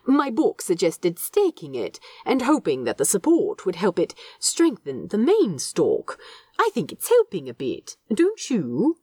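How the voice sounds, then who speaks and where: A woman voicing a kindly, fussy, cheerful male angel bookseller with a gentle, earnest manner in a story reading. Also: medium pitch, huge pitch swings, measured pace, husky, no room sound, studio quality, exaggerated voice. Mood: fond, prim.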